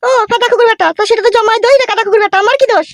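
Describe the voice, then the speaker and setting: A woman playing a shrill, foul-mouthed, quarrelsome mother-in-law in a comedy cartoon, scolding and arguing. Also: fairly even intonation, rapid-fire, a bit bright, no room sound. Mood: angry scolding. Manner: scolding, cursing, argumentative.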